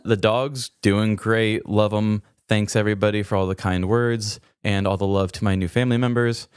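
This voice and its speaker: A man's voice: fairly low pitch, little pitch movement, moderate pace, smooth, no echo, clean studio sound. A man co-hosting a podcast in casual chat, with a playful, self-deprecating manner. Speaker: podcast co-host.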